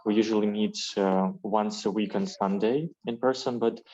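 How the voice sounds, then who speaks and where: Meeting host from Ukraine, low and hesitant, over dull video-call audio. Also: male voice, fairly even intonation, moderate pace, mellow. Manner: hesitant, friendly, many fillers. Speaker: club president hosting the meeting. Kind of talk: online meeting host chat.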